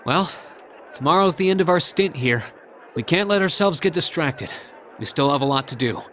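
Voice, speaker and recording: An actor playing an earnest, kind young student in a video game, talking in a casual, considerate way. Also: male voice, fairly high pitch, expressive, moderate pace, fairly bright tone, a little hiss or hum. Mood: puzzled, resolute. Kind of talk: English-language game voice acting.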